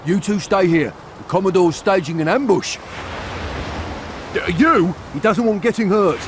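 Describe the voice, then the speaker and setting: A hostile soldier talks in a high, unhurried voice. He is curt and scornful, in video game cutscene style, with loud noise around him. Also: expressive.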